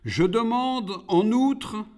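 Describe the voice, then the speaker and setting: A man's voice: sits high, drawn-out words, a little mellow, pitched above the performer's own voice. A man voicing a proud, rebellious demon who makes disdainful, defiant demands in a declaimed storybook poem. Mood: demanding. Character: Iblis, proud rebellious male demon, monstrous forger.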